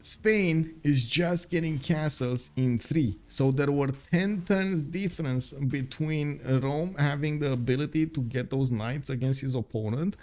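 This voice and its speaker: A man's voice: fairly high pitch, a little slow, hardly any pauses, a little mellow. A young man whose first language is Romanian, commentating a strategy game live, with lots of fillers and upbeat chat with viewers. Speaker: game caster.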